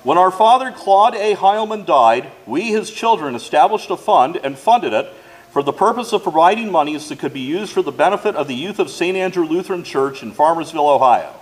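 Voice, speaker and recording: Higher-pitched man addressing a church. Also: expressive, brisk, rough recording. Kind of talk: reading announcements aloud to a congregation. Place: church during a service.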